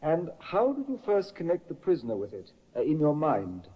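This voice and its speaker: An actor playing a formal prosecutor questioning witnesses methodically in an old radio drama, heard through an echoing old broadcast. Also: male voice, a little high, wildly swooping pitch, moderate pace, breathy edge, dull audio, full character voice. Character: formal male Crown prosecutor. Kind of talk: 1950s radio acting.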